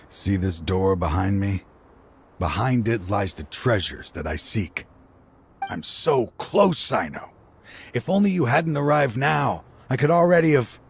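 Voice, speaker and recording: An actor playing a weary, regretful older man in a video game, a grieving father who sighs and talks slowly. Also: on the low side, lively intonation, vocal fry, a little hiss or hum, exaggerated voice. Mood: pleading, eager. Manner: weary, slow, sighing. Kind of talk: game cutscene voice acting.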